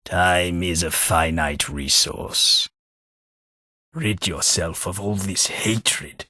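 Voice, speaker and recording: An actor playing a formal, polite, long-lived London gentleman in a game, speaking with measured diction. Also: low voice, no room sound, clean studio sound, heavily acted. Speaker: game companion. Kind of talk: game voice acting.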